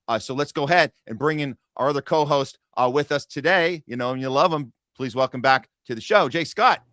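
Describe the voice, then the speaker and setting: A man's voice: medium pitch, brisk, fairly bright tone, no echo, studio quality. A man hosting a political talk podcast, speaking earnestly and rambling with frequent restarts. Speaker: podcast host. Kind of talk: casual political talk show.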